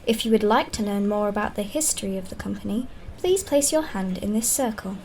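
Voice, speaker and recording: A woman's voice: medium pitch, lively intonation, brisk, a little mellow, lightly acted. A woman voicing a polite, impassive computer interface in a science-fiction audio drama, with formal, emotionless delivery. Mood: polite, neutral.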